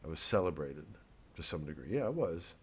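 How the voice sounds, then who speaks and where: A man's voice: extremely low pitch, big pitch swings, a little fast, slightly rough. An American man, a comedian, talking casually to his fans in a rambling, digressive monologue with some swearing.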